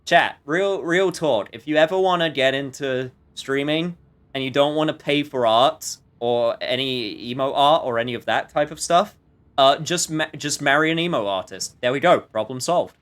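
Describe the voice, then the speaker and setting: A man's voice: medium pitch, normal speed, fairly bright tone. A man livestreaming a game with casual, rambling commentary full of jokey asides.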